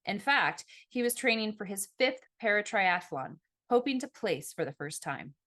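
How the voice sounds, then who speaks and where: A woman narrating a first-person novel as an audiobook, with an expressive storytelling delivery. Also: medium pitch, normal speed. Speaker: author reading own novel.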